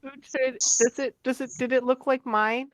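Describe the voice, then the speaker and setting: A woman playing a tabletop roleplaying game, improvising in character in a halting, earnest way. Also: high-pitched, steady tone, no room sound.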